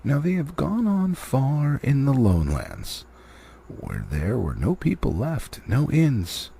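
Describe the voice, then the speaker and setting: A man's voice: medium pitch, sing-song, slow, vocal fry, warm tone, a little hiss or hum. A man reading a fantasy novel aloud expressively, like an amateur audiobook, with the odd stumble. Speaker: amateur storybook reader.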